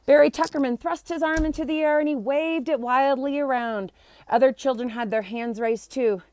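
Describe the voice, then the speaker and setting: A woman's voice: high voice, moderate pace, a little mellow. A woman reading a children's book aloud, expressively acting out the characters and adding short explanatory asides. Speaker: storybook reader.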